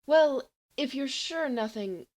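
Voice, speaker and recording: A woman's voice: sits high, animated, slow, pitched above the performer's own voice. A woman voicing a sceptical school matron in an audiobook, firm and coaxing, trying to sound reassuring. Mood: flustered but firm. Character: stern but soothing, sceptical school matron. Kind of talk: audiobook character voice.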